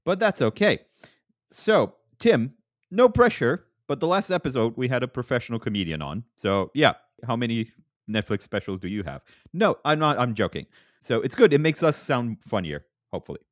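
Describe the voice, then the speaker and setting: Playful podcast host, lively pitch. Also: male voice, moderate pace, no echo, studio quality. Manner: playful, jumps up in pitch for jokes. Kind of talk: casual chat.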